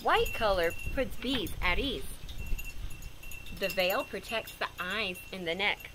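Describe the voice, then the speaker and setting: A woman's voice: mid-range voice, animated, slow, background noise. A woman reading a picture book aloud to children, expressively, with simple explanatory asides. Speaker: read-aloud storyteller for children.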